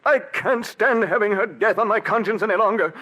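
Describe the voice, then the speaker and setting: An actor playing a tense, anguished, guilt-ridden husband in a 1940s radio drama, with a husky voice. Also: male voice, sits high, brisk, bright, forceful tone. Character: guilt-ridden, desperate husband, middle-aged man. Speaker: Philip, the husband. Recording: old 1940s radio broadcast recording.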